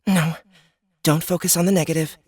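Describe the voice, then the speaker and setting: A woman's voice: sits low, steady tone, normal speed, a little mellow, husky, no room sound, studio quality. A woman playing a plucky young woman in a fantasy audiobook, informal and earnest with a wry streak. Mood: self-encouraging. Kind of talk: scripted audiobook character acting.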